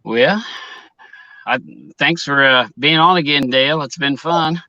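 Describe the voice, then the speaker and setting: A man's voice: fairly high pitch, unhurried, sharp tone, dry recording, dull audio. A man hosting a live streamed talk show in casual conversation.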